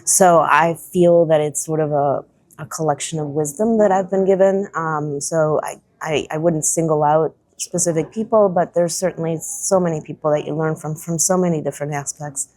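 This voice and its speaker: A woman's voice: low-pitched, steady tone, normal speed, darkish tone, no echo. A woman in her forties, a guest on a trade show podcast, talking thoughtfully in a casual interview and often restarting her sentences. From Chicago area, US.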